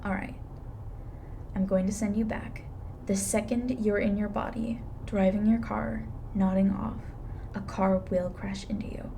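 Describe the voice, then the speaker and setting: A woman playing a blunt, weary, reluctantly soft-hearted reaper in an intimate ASMR roleplay, dry and matter-of-fact. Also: mid-range voice, little pitch movement, a little slow, vocal fry, slight background noise. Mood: firm, instructing.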